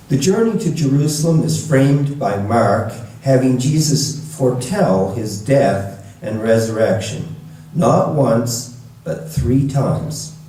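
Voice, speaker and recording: A man reading scripture to a church congregation in a measured, deliberate way, recorded with a roomy echo from a distant mic. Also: medium pitch, warm tone, a little hiss or hum. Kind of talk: scripture reading with brief introduction.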